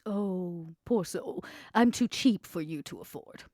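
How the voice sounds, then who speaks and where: A woman playing a scheming, smooth-talking vampire crime boss in a tabletop roleplay, with a sly, theatrical, deliberate manner. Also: on the low side, a little mellow, no echo, clean studio sound. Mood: sly, persuasive.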